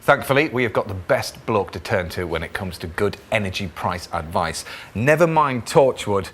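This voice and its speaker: Bright, lively male TV co-host. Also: fairly low pitch, moderate pace, husky. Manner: jokey, chummy presenter banter. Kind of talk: live magazine show presenting. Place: television studio.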